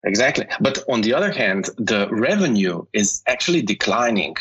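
Man from Slovenia, rough husky timbre, hesitant interview talk, muffled remote sound. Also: on the low side, animated, moderate pace, a bit bright. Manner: hesitant, many fillers and restarts. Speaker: guest, telecom industry manager. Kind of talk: conversational interview.

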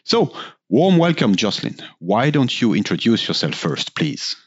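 A man hosting a corporate tech podcast, asking questions in a formal, slightly halting way. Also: medium pitch, big pitch swings, breathy edge, dry recording, lo-fi sound. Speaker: podcast host.

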